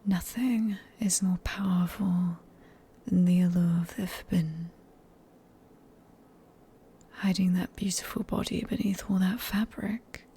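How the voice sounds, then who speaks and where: Woman acting breathy, whispering British vampire seductress. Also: mid-range voice, mellow. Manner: slow, teasing, formally polite, coaxing. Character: seductive, sadistic, possessive female vampire, silky and menacing. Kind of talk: ASMR roleplay acting, close and whispered.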